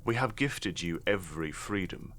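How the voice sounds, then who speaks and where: An actor playing a middle-aged, shrewd and dry-witted noble father in an audio drama, speaking in a measured, formal, wry way. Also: low-pitched, animated, a bit bright. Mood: dry, reproachful.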